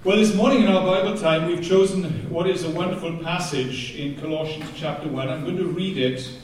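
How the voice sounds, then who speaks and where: A man's voice: high-pitched, a little fast, slight background noise. A man with a Welsh accent preaching a passionate sermon and reading scripture aloud, often picking up speed as he goes. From Wales. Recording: very echoey hall, heard from a distance.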